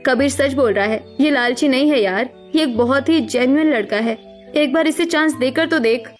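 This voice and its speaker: A woman's voice: high voice, moderate pace, soft background music, close to an everyday voice. A woman voicing a protective, outspoken young sister-in-law in a melodramatic cartoon story, speaking emphatically. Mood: encouraging. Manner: emphatic, persuasive.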